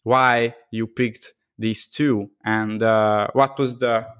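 A man's voice: fairly low pitch, fairly even intonation, a bit bright, clean tone, no room sound, studio quality. Man hosting a podcast. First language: Hungarian. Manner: halting, many fillers and restarts. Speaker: podcast host. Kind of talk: casual interview chat.

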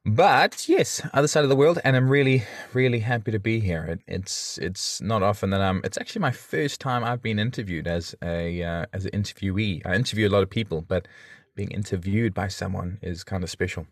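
A man's voice: fairly low pitch, a little fast, no room sound, clean studio sound. A man in his thirties with a South African accent, chatting as a friendly, self-deprecating podcast guest. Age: 30s. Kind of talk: casual interview chat.

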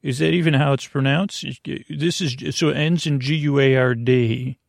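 A man with a mid-pitched, creaky voice hosts a podcast meant for falling asleep. He meanders and stalls, breaking off his own sentences and picking them up again. The sound is very dry, with no room around the voice. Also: steady tone, no echo. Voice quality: gravelly, creaky. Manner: meandering, halting, absent-minded, self-interrupting. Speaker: podcast host. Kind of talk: rambling bedtime podcast monologue.

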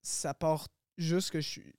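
Podcast guest: man, relaxed slangy chat, fairly high smooth voice, dry close sound. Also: slow, no echo. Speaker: rapper guest.

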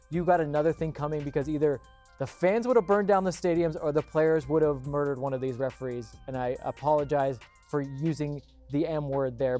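A man's voice: a little high, warm tone. A man hosting a sports show, giving casual, opinionated commentary in an emphatic way.

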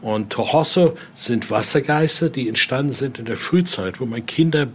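A man's voice: medium pitch, normal speed. An older man explains and tells stories like a tour guide, speaking spontaneously and often adding little questioning tags. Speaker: museum guide and interviewee. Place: indoor exhibition room. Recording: clearly noisy room ambience.

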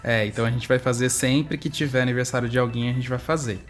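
A man's voice: mid-range voice, steady tone, fast, music in the background. A man in his thirties from São Paulo with a Brazilian accent, co-hosting a podcast in a playful, teasing way. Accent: Brazilian Portuguese. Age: 30s. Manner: playful, teasing, laughs often. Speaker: podcast co-host. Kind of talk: casual podcast chat.

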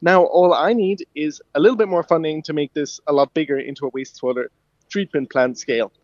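An eager young man from Ireland, a touch higher-voiced than most, chats easily in a radio interview. His voice comes through a dull, muffled line. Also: fairly quick, darkish tone, dry recording. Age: young adult. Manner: eager, friendly, laughs easily. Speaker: guest inventor.

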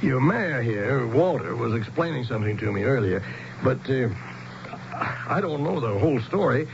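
A man's voice: medium pitch, animated, normal speed, vocal fry. An actor playing a bewildered, skeptical American man stranded as an outsider in a 1970s radio drama. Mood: bewildered, halting. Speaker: actor and narrator. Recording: muffled, noisy old radio broadcast.

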